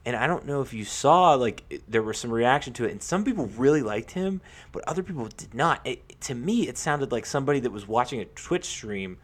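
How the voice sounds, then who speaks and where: A man co-hosting a casual sports podcast, talking in a halting way with repeated words and lots of "like". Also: mid-range voice, animated. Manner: halting, repeats himself, peppers sentences with "like".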